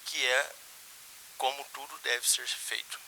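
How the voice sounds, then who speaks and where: A man playing a government minister who gives a measured, formal, reassuring statement in a mock television news interview. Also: mid-range voice, steady tone, sharp tone, slight background noise, poor audio. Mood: official reassurance.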